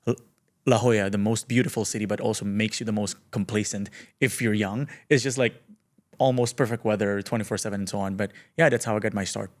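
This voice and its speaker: A man's voice: on the low side, fairly even intonation, fast talker, a little mellow. A man in his late twenties from Indonesia, talking casually and self-deprecatingly as a podcast guest in an informal, slangy way. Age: late 20s. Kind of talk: casual conversational interview.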